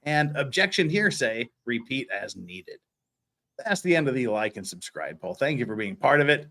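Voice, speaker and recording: A man hosts a casual livestream with a highly animated, swinging delivery. He chats off the cuff and teases his audience in a playful, joking way. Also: moderate pace. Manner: jokey teasing, playfully scolding viewers. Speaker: livestream host. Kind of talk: casual livestream chat, thanking viewers and reading comments.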